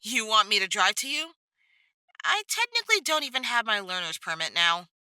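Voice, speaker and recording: A woman's voice: medium pitch, very animated, moderate pace, bright, forceful tone, dry recording. A young woman playing a frank, wry teenage girl hero in a conversational audio drama style.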